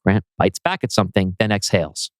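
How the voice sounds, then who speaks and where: A man's voice: deep voice, lively intonation, fairly quick, dry recording, studio quality. A man reading scripted screenplay narration as writer and narrator.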